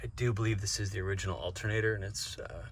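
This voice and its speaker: Male DIY vlog host, lowish voice, informal and laid-back, narrating car work over vehicle noise. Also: steady tone, fast talker. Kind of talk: casual narration to camera while working. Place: in and around a vehicle. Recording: noisy car and engine ambience. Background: car and ambient noise.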